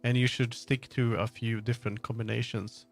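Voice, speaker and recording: Man rambling on a livestream, slightly creaky. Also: medium pitch, flat delivery, moderate pace. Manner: rambling, muttering to himself, jumping between thoughts. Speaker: streamer. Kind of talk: casual livestream chat.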